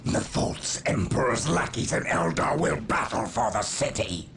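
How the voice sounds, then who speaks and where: A man's voice: medium pitch, animated, a little slow, creaky, background noise. A man playing a scheming Chaos sorcerer in a game cutscene, oily, theatrical and smugly deferential. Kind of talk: dramatic game cutscene acting.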